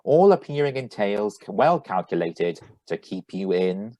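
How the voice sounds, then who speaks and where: A man playing an enthusiastic 1940s radio announcer and sponsor pitchman with a husky voice, read over a video call. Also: very animated, normal speed, mellow, no echo. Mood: formal announcing.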